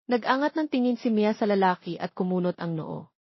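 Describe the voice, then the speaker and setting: A Filipino woman narrates a romance audiobook from a script. Her voice sits at a middle pitch. The recording is very dry and a little dull. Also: expressive, a little fast, slightly rough, no room sound.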